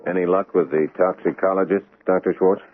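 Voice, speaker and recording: A man playing a blunt, gruff police lieutenant. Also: low-pitched, fairly even intonation, brisk, soft background music, natural voice. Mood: probing. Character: hard-nosed male police lieutenant, blunt. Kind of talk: 1970s radio drama acting. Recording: tinny lo-fi vintage radio recording.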